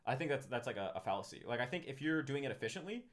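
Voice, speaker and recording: A man chatting casually on a live stream about language learning, talking in quick bursts and often restarting his sentences. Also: medium pitch, very animated. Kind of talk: casual livestream chat. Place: room in Tokyo, live stream.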